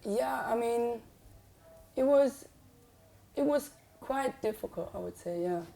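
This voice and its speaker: A young woman from Switzerland, chatting casually as a musician guest in an unhurried, hesitant way with many "um"s. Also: a little high, lively intonation, creaky, warm tone. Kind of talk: casual conversation.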